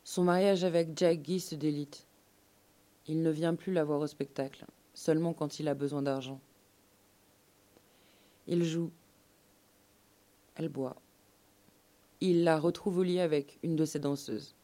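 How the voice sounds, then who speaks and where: A woman narrating a scripted radio documentary story in measured, literary phrasing. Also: low-pitched, steady tone, dark tone.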